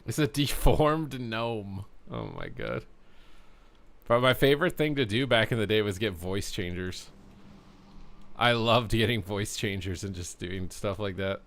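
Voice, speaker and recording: Deep-voiced rambling male streamer. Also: unhurried, fairly bright tone. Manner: rambling, full of "like" fillers. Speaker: game streamer. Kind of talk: casual live-stream chat.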